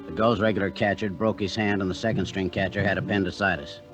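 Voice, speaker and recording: A low American male voice, an actor as an earnest young rookie ballplayer who is quick to bristle. The delivery is classic 1940s radio drama on an old recording, with music clearly behind it. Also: level tone, natural voice. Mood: recounting, tense. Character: earnest, touchy young rookie baseball catcher. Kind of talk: 1940s radio acting, first-person narration and dialogue.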